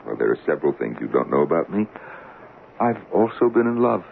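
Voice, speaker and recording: A calm, protective family friend, soothing and gently insistent,. His voice is extremely deep and gravelly yet lively in pitch, heard on a tinny, hissy old radio broadcast. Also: brisk, full character voice. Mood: concerned. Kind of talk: 1970s radio drama acting.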